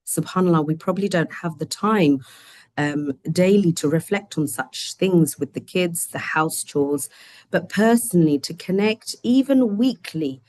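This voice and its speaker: A woman hosting and translating in an online study session, talking in a gentle, chatty way with many fillers, her voice a little croaky. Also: fairly low pitch, lively intonation, a little slow, no echo. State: slightly croaky voice. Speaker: host. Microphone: video call.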